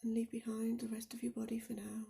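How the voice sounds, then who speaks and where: Soft, mellow woman guiding meditation, slightly creaky. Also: fairly high pitch, completely flat delivery. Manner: soft, soothing, often breathy and near-whispered; slow and deliberate with gentle pauses. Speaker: meditation guide. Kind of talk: guided body scan meditation.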